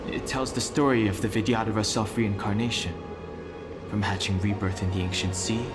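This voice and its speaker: A thoughtful young man of a fantasy game, played by an actor, speaks low and solemnly. His delivery is measured and scholarly. Loud music runs behind the voice. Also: fairly even intonation, close to an everyday voice. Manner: measured, reflective.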